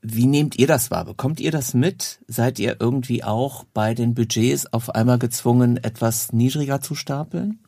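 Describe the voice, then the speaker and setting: Slightly husky male interviewer, very dry sound. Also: medium pitch, moderate pace, hardly any pauses, mellow, no room sound. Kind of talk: informal conversational interviewing.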